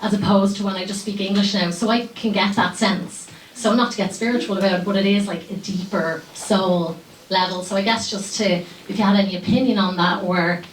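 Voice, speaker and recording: A woman's voice: medium pitch, steady tone, fairly quick. A young woman with a Dublin accent asks a rambling question from the floor, with lots of filler words. She is heard from far off in a hall full of echo. A faint hiss sits underneath. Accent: Irish, Dublin. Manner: chatty, hesitant with many "like" fillers. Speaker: audience member asking a question. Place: large hall.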